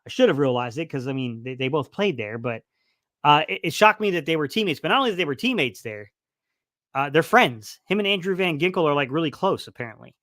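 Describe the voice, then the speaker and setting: A man's voice: a little high, lively intonation, fast, a bit bright, no room sound, clean studio sound. A man hosting a casual football live stream, reading the chat aloud and rambling with lots of fillers, his voice starting to wear out. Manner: rambling, many fillers and restarts. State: losing his voice a little. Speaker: sports livestream host.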